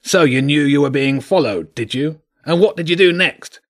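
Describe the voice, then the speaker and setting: A man voicing a gruff, commanding badger king with a husky voice and a terse, imperious manner in an audiobook. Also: fairly high pitch, normal speed, voice pushed up. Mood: menacing. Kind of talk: audiobook character voice.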